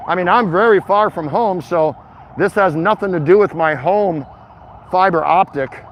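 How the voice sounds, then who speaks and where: A man's voice: high voice, normal speed. A man hosting a casual livestream as he walks outdoors, rambling along in an easygoing, chatty, jokey way to his viewers. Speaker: vlog host livestreaming a walk. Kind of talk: casual rambling walking commentary to live chat. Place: outdoors, walking in a city bayside area. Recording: dull lo-fi outdoor mobile audio, clear traffic noise. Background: passing vehicles and urban outdoor noise.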